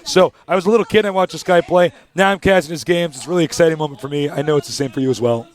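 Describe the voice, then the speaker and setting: A man's voice: a little high, racing. A young man doing live esports play-by-play in a packed studio, hyped, joking and announcer-like. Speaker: esports commentator.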